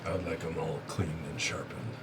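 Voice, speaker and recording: A man playing in a tabletop game, with a dry, deadpan way of talking. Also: extremely low pitch, brisk. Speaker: tabletop player. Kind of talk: casual tabletop play. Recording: noisy room recording.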